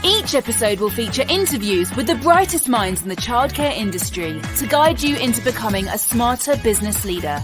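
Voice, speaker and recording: A woman's voice: a little high, big pitch swings, brisk, hardly any pauses. A woman reading a polished, promotional podcast intro and sponsor message. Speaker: show announcer. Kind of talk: scripted intro and ad read. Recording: announcer over clear music.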